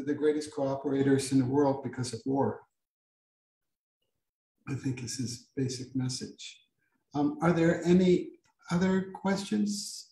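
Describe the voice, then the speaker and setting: Creaky-voiced man hosting, echoey audio. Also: a little high, darkish tone, slight background noise. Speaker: seminar moderator. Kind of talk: informal academic hosting.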